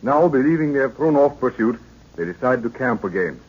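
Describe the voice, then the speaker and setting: A man's voice: mid-range voice, lively intonation, brisk, a little mellow, slightly rough. A man narrating an old radio adventure serial in a solemn, theatrical, scripted style. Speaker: announcer and narrator. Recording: muffled old radio recording.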